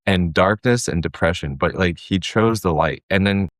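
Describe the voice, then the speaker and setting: A man with a low voice talks as an interview guest. He is earnest and conversational, and the sound is dry and close. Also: normal speed, no room sound. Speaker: podcast guest, audio drama creator.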